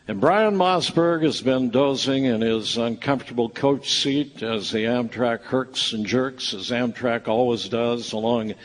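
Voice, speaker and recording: A male announcer narrates a live radio play in a wry, theatrical manner. He takes his time with each phrase, his medium-pitched voice ringing through a reverberant hall. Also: unhurried, few pauses, slight background noise. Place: stage at a live performance.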